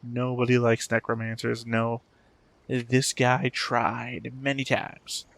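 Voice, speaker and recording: A man's voice: medium pitch, monotone, slow, vocal fry, fairly bright tone. Man giving casual reaction commentary. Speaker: reaction channel host.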